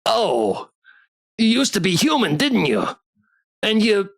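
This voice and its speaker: A man voicing a small, excitable plushie pet creature with an incredulous, questioning manner and a gravelly edge, in a visual novel. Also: sits high, slow, pitched above the performer's own voice, exaggerated voice. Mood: stunned realization.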